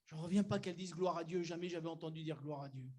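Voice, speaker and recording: Older male pastor, high voice, conversational sermon delivery, bursts of emphasis. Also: fast talker, a little mellow. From Algeria. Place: church.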